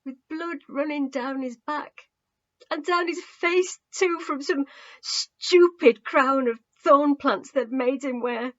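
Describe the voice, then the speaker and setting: Anguished young man voiced by a woman, audiobook drama, halting, emotionally raw, raised pitch, lo-fi sound. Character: anguished, guilt-ridden young man recounting a loved one's death. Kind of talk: audiobook character acting.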